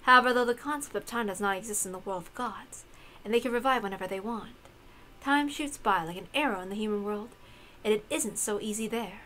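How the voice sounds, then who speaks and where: A woman voicing a solemn, knowing witch in a visual novel, measured and didactic. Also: medium pitch, sharp tone, slight background noise, lightly acted. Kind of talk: acted visual novel dialogue.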